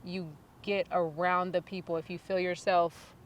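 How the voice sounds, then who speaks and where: A woman's voice: lowish voice, level tone, unhurried, a little hiss or hum. A woman interviewing a student, asking open questions and affirming and rephrasing her answers. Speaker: interviewer, coach.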